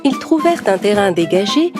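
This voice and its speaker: A woman narrates a children's story from a script. Her voice is medium in pitch, and loud music plays behind it. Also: big pitch swings, brisk.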